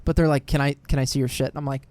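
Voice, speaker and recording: A man in his late twenties with a high voice, co-hosting a podcast and telling profane stories in casual chat. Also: little pitch movement, rapid-fire, clear, resonant tone, no echo. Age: late 20s. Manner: loud storytelling, swears often, acts out quotes. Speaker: podcast co-host.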